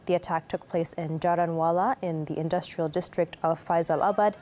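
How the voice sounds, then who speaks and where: A woman's voice: fairly low pitch, fairly even intonation, fairly quick, dark tone, slight background noise. A woman reading scripted news as an anchor.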